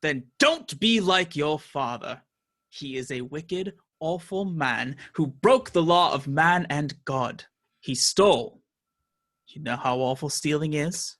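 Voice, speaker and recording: A tyrannical sheriff in a radio drama. The delivery is slow and righteous, with wide swings in pitch. He sounds pompous and menacing, with a sharp, forceful edge. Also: animated, heavily acted. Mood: menacing, preaching. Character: cruel, menacing male sheriff, righteous law-and-order tyrant. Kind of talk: radio play acting.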